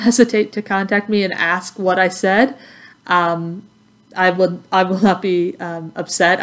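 A woman from Texas with an American accent, speaking as an instructor in a friendly, conversational introduction to students. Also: lowish voice. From Texas, United States. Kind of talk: informal course introduction to students.

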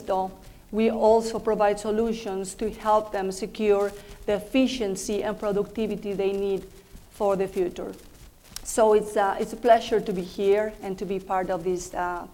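A woman speaks with a Mexican accent, delivering prepared remarks to a panel audience. Her delivery is measured and dotted with small "uh" pauses. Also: medium pitch, flat delivery, warm tone. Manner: measured, with frequent "uh" fillers. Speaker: industry panelist.

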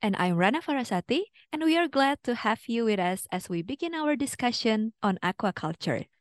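A woman's voice: a little high, lively intonation, a little fast, dry recording. Co-host woman, dry close sound. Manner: casual, lots of "like" when asking. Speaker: podcast co-host. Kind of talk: scripted intro and interview questions.

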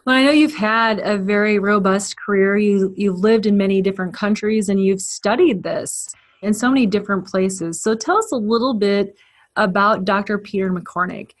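A woman's voice: medium pitch, normal speed. Lady podcast host, chatty and encouraging, just asks her guest questions. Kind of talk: interview questions.

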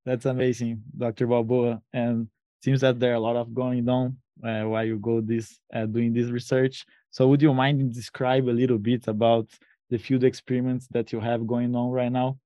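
A man's voice: mid-range voice, little pitch movement, moderate pace, warm tone, no echo, dull audio. A man co-hosting a podcast, reading the intro and asking the guest questions. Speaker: podcast co-host.

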